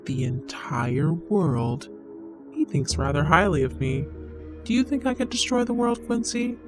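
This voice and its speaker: A woman voicing a menacing male shadow creature who taunts his prey slowly and coldly. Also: sits low, animated. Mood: mocking. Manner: slow, taunting, toying with its prey. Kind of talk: audiobook character voice. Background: music.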